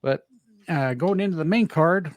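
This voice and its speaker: A man's voice: a little high, normal speed, dry recording. A man hosting a casual wrestling podcast, easygoing and rambling, with lots of fillers and self-deprecating asides. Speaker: podcast host.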